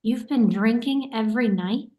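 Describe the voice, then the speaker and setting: Actress doing worried pushy young woman in a play reading, voice a bit high, confronting. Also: moderate pace, dark tone, close to an everyday voice. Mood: accusing. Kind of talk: virtual staged play reading.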